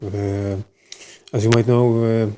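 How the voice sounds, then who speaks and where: A man's voice: fairly low pitch, little pitch movement, mellow, a little hiss or hum. A man from Sweden with a Swedish accent, hosting his own channel and talking unscripted, hesitating often and restarting his sentences. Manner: halting, many filler sounds and restarts. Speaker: channel host. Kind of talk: casual monologue to camera.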